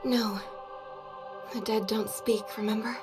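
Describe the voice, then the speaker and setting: A woman voicing a gentle, devout young warrior in a video game, soft and earnest, with measured solemn delivery. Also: a little high, fairly even intonation, over music, natural voice. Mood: solemn, wistful.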